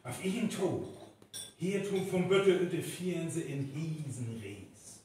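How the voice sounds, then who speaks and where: A man's voice: fairly high pitch, takes it slowly, warm tone. A man with a North Frisian accent narrating a scripted radio play live on stage. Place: live performance venue. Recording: echoey live stage recording, distant, faint noise.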